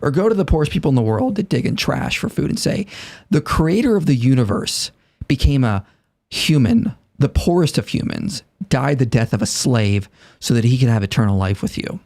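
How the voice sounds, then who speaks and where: A man's voice: animated, moderate pace, gravelly, a little mellow. A man in his late thirties, a podcast guest, making his case earnestly in casual conversation and acting out imagined speakers. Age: 30s. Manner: earnest, argumentative, voices imagined speakers in raised pitch.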